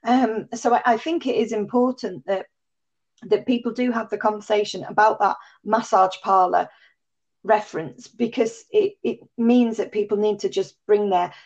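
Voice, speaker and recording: A woman interviewer with a croaky voice, asking chatty, thoughtful questions. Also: medium pitch, fairly even intonation, moderate pace, a little mellow, lo-fi sound. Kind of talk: conversational interview.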